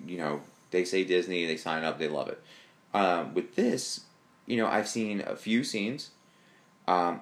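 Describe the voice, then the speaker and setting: Deep-voiced man in casual fan chat. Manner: rambling, enthusiastic fan talk with fillers. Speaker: podcast co-host.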